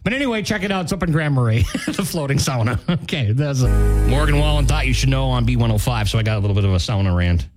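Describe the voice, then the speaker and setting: A man's voice: medium pitch, animated, quick pace, music in the background. A man with an American accent co-hosting a morning radio show, chatting casually in a rambling way and often correcting himself mid-sentence. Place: radio studio.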